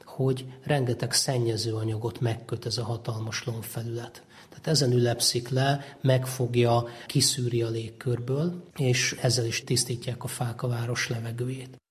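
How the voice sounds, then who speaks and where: A man's voice: medium pitch, flat delivery, hardly any pauses. Male expert interviewee, middle register, explanatory delivery.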